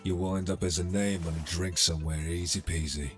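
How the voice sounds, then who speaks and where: A man's voice: low-pitched, steady tone, a little fast, faint music behind, close to an everyday voice. A man playing a cocky, sardonic criminal middleman in a cyberpunk ASMR roleplay, casual and streetwise, teasing as he talks. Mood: businesslike, streetwise.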